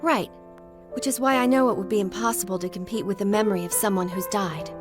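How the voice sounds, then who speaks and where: A woman's voice: mid-range voice, steady tone, quick pace, over music, lightly acted. A woman playing a caring, spirited young fighter in a video game, speaking frankly and directly. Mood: earnest.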